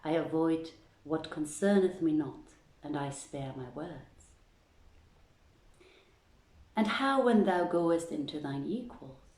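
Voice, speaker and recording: Female livestream host and story reader; low, mellow voice, roomy home recording. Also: expressive, slow pace, pauses often. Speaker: host reading aloud at an online event. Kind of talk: informal livestream chat and storybook reading.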